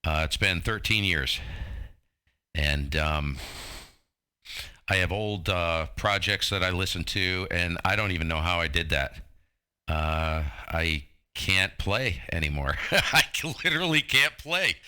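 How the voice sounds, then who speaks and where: Bright, deep-voiced man in his fifties. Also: animated, takes it slowly, clear, resonant tone. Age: 50s. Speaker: amateur radio operator. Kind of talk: casual radio chat.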